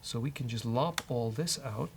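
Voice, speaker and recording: A man talks through live coding in a casual, joking way, as a host thinking aloud. His voice has a gravelly creak and sits at a middling pitch, with typing, clicks and faint noise around it. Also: moderate pace.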